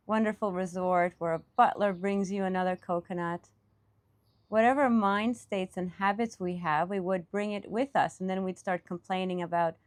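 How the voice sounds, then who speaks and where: A woman leading a guided meditation as a teacher, speaking off the cuff in reflective, halting phrases with pauses. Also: medium pitch.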